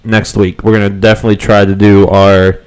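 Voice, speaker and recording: A man's voice: fairly low pitch, flat delivery, a little fast, smooth. A young man co-hosting a casual live stream. His voice cuts in and out from a cold, and he rambles with lots of ums and restarts. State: voice cutting in and out from a cold. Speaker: co-host of a fan podcast live stream.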